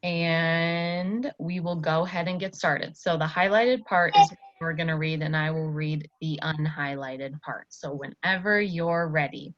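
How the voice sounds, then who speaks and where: A woman's voice: low voice, steady tone, measured pace, hardly any pauses, highs cut off. A woman teaching a reading lesson, explaining simply and encouragingly to a pupil. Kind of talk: instructional talk and story read-aloud.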